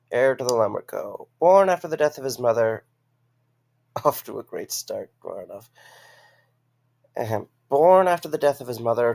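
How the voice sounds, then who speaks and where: A man acts out a wry, practical visitor in an audio drama. His voice is medium in pitch with plenty of vocal fry. He sounds matter-of-fact and a little sceptical. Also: darkish tone.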